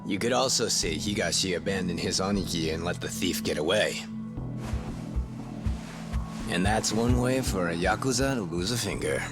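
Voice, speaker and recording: A man's voice: low-pitched, over loud music, lightly acted. An actor playing a wry, worldly man who tells underworld tales sardonically in an English video game dub. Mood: sardonic. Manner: sardonic, unhurried storytelling.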